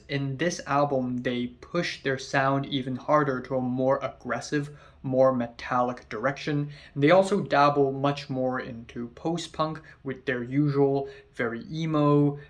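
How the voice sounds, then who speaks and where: A young man talks through an album review in a loose, chatty way, with plenty of ums and uhs and the odd casual curse. His pitch sits in an ordinary middle range for a man. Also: a bit bright. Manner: chatty, lots of fillers, casual swearing. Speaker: album reviewer, channel host.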